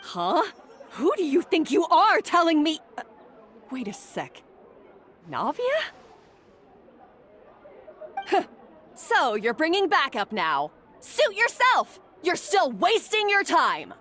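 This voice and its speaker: Harsh young kitchen boss, very high sharp voice, scornful, commanding, video game acting. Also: female voice, huge pitch swings, slow pace, slight background noise, heavily acted. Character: haughty, harsh young female head of a hotel kitchen.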